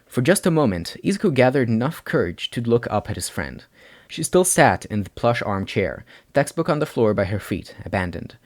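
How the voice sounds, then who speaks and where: A man's voice: mid-range voice, very animated, normal speed. A man reading a story aloud as scripted narration, as an amateur audiobook reader.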